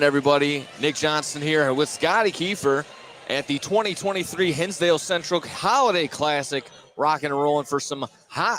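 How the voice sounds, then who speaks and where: A man announcing a high school basketball game live on radio in an upbeat, chatty way. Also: fairly bright tone. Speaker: play-by-play sports announcer. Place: indoor high school gym. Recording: live gym broadcast with clear background noise.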